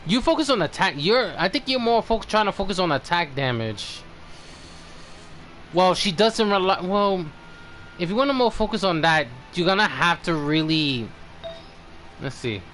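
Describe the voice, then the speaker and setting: Male game streamer, notably high voice, halting and conversational, slight noise behind him. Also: sing-song, a bit bright. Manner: halting, thinking aloud. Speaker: game livestream host. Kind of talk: casual livestream chat.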